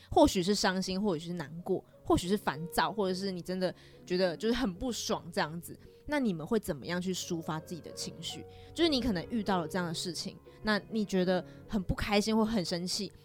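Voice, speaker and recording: A young woman with a Taiwanese accent hosts a casual chat. Her intonation swings widely and she is expressive and chatty. Faint music runs underneath. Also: lowish voice. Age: young adult. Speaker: podcast host.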